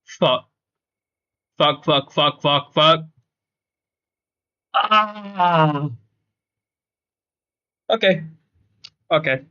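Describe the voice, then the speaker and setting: A man's voice: fairly high pitch, very slow, long pauses, sharp tone, lo-fi sound. A man streaming a game with casual live commentary, explaining his points emphatically and repeating himself.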